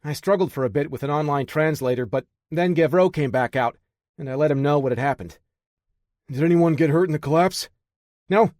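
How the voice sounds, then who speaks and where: A man's voice: fairly high pitch, a little fast, darkish tone, dry recording, pitched above the performer's own voice, natural voice. A man voicing an eager, chatty young male tech support guy in an audiobook, recorded dry.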